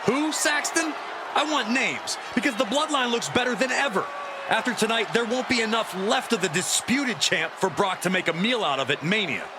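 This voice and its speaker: A man's voice: high voice, animated, normal speed. A man giving indignant, snide and partisan color commentary on wrestling. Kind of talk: sports commentary. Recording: very noisy arena sound.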